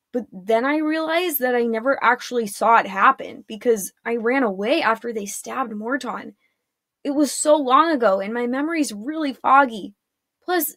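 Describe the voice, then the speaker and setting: A woman's voice: on the high side, fairly bright tone, no room sound, clean studio sound. Woman reading as a cheeky, defensive orphan boy.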